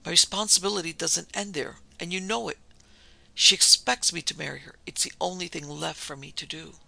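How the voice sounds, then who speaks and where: Man playing an anxious, pleading young son, high-pitched. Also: fairly even intonation, creaky, pushed and bright, dry recording, full character voice, low-quality recording. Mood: insistent. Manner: haltingly pleading, faltering. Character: earnest, anxious young son, cowed but stubbornly defiant.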